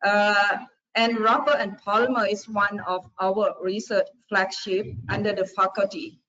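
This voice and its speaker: A middle-aged woman from Thailand with a Thai accent, giving a relaxed, friendly conference presentation in short phrases. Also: medium pitch, measured pace. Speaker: conference speaker. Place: conference hall. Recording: dull, muffled conference recording.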